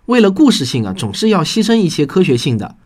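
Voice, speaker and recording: A man's voice: a little high, sing-song, fast talker, a little mellow. A man hosting a podcast and talking to listeners about his own writing in an earnest, explanatory way. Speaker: podcast host and science fiction writer. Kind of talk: scripted podcast talk to listeners.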